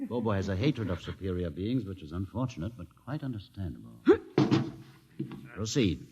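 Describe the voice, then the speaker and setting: Older starship rebel boss in 50s radio show, sardonic and grand, very lively pitch, big echoey hall sound. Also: male voice, dull audio, over loud music. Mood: cool disdain. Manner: theatrical, urbane, condescending, deliberate. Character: sardonic, commanding older male rebel leader aboard a starship. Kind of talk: 1950s radio drama acting. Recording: 1950s radio recording, long reverberant hall.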